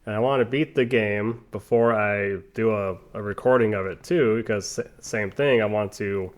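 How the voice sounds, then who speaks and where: A young man casually commenting on his game playthrough as host, rambling through chatty side stories with lots of ums and restarts while calmly guiding the viewer. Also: fairly low pitch, normal speed. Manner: relaxed, laid-back guiding of the viewer. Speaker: gaming channel host. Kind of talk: casual let's-play commentary.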